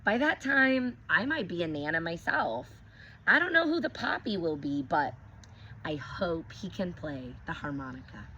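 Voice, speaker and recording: A woman teacher reading a picture book aloud to young children, expressive, stopping to ask them questions. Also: lowish voice, moderate pace, highs cut off, slight background noise.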